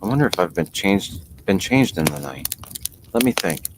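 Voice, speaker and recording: A curious, easily puzzled little storybook girl muses aloud to herself, played by a man in his own low register. She fusses and scolds herself, with clear noise behind the voice. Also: sing-song, normal speed. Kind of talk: storybook character reading. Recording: noisy home podcast recording.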